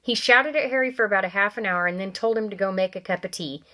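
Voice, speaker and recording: Woman, storybook read-aloud, animated character voices, friendly asides to kids. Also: medium pitch, quick pace. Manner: asides to young listeners. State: coughing from allergies. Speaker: reader. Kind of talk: reading a children's novel aloud.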